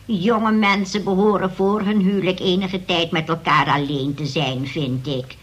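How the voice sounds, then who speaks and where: An actress playing a prim, nosy, churchgoing neighbour in a Dutch radio play, unctuous and full of pious insinuation. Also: female voice, lowish voice, steady tone, a little slow, close to an everyday voice.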